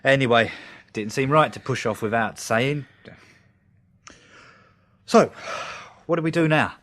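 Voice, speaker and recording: A man's voice: medium pitch, measured pace, fairly bright tone, close to an everyday voice. An actor playing a cheerful, blinded working-class Englishman in a radio drama, chatty and wry, with colloquial turns and morbid jokes. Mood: matter-of-fact.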